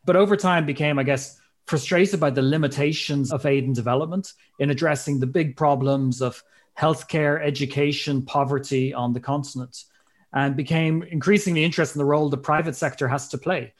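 A man's voice: a little high, fairly even intonation. Earnest Irish man introducing himself. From Ireland. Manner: earnest, measured and articulate. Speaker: guest, investment team member. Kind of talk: interview self-introduction.

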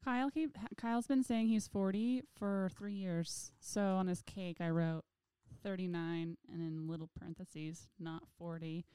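A woman in her early thirties co-hosts a casual podcast in a mellow, warm tone. She teases and cracks jokes easily. Her voice sounds close and dry. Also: on the low side, steady tone, measured pace, few pauses, smooth, dry recording. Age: 30s. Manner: teasing, wisecracking. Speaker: podcast co-host. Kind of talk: casual chat.

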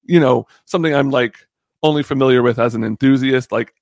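A man in his thirties chatting casually as a podcast guest, halting and rambling, with a joking streak. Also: medium pitch, vocal fry, no room sound, clean studio sound. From born in the Bahamas. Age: 30s. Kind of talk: casual chat.

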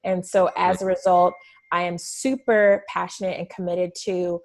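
A woman's voice: lowish voice, level tone, moderate pace, low-quality recording. Chatty young woman from Southern California. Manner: enthusiastic, talkative, laughs often. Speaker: podcast guest. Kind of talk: casual interview chat.

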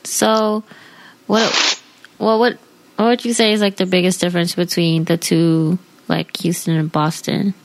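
A woman's voice: fairly low pitch, flat delivery, a little slow, low-quality recording. An American woman from New York hosting a podcast, chatting in an informal, slangy way. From New York, United States. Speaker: podcast host. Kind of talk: casual podcast chat.

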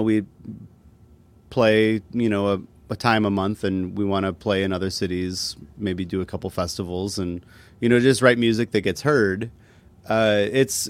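Male musician guest, lower voice, relaxed and self-deprecating, conversational interview delivery with hesitant phrasing. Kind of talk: casual interview chat.

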